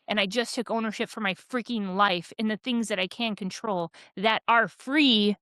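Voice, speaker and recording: A podcast co-host, she rants with fired-up energy, rambling and restarting as she talks. Her voice sits at an ordinary pitch and sounds close and dry, with no room around it. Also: normal speed, a bit bright, dry recording. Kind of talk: candid casual chat.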